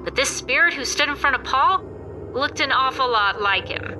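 A woman's voice: sits high, expressive, normal speed, noisy background. A woman playing a dramatic story narrator who addresses the listener in an audio comedy drama. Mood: arch, dramatic. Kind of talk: theatrical scripted narration.

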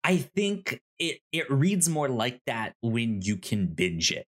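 A man's voice: medium pitch, slow pace. A man co-hosting a podcast in casual unscripted discussion, often repeating words and syllables as he goes and acting out imagined dialogue. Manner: animated, acts out imagined dialogue. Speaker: podcast co-host reviewing a TV show.

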